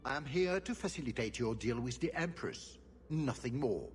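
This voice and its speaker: A man's voice: mid-range voice, expressive, normal speed, soft background music, lightly acted. An actor playing a haughty, vengeful nobleman in a fantasy video game, with a pompous, theatrical delivery. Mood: haughty, menacing. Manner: pompous, imperious, dramatic. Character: haughty, vengeful nobleman duke, full of threats.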